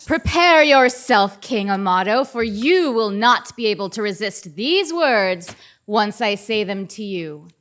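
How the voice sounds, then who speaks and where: A woman's voice: mid-range voice, lively intonation, measured pace, fairly bright tone. A woman acting a self-serious dragon slayer in a comic stage play, declaiming in mock-epic fashion. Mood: grandiose, defiant.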